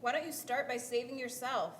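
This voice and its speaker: A woman's voice: on the high side, fairly even intonation, brisk, a bit bright, natural voice. A woman playing a mocking, skeptical onlooker at an execution in a staged dramatic Bible reading. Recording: roomy live hall with background noise.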